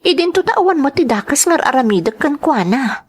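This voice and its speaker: Casting: gentle, troubled young schoolteacher and dutiful daughter, Filipino accent, emotive, melodically varied radio drama delivery. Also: female voice, high voice, animated, a little fast. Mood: troubled, confiding. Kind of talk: Ilocano radio drama acting.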